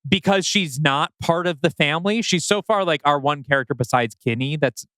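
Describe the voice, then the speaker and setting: A man co-hosting a podcast, casually critiquing a story and acting out imagined quotes in an animated way. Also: a little high, fairly quick, dry recording.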